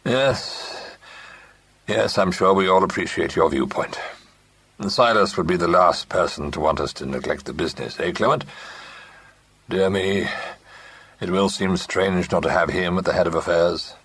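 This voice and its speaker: A man's voice: sits low, moderate pace, long pauses, a bit bright, husky, full character voice. A man voicing a pompous older businessman who talks ponderously with a false heartiness. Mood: sympathetic. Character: pompous older male company partner.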